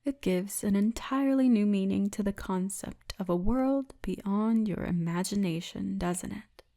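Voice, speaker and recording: A towering orc militia woman, played by a female voice actor in an intimate ASMR roleplay. She speaks slowly in a mellow, relaxed tone at a medium pitch. Her manner is confident and teasing, with a formal turn of phrase. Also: lightly acted. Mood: proud, inviting. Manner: teasing, confident, formal turns of phrase, often breathy murmur.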